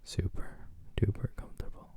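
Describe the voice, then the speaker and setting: A man playing a possessive, softly controlling doctor in an ASMR hypnosis roleplay, in a breathy whisper with a soothing, coaxing manner. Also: close to an everyday voice. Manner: slow, soothing, coaxing, quietly dominant. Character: possessive yandere male doctor, gentle but controlling hypnotist.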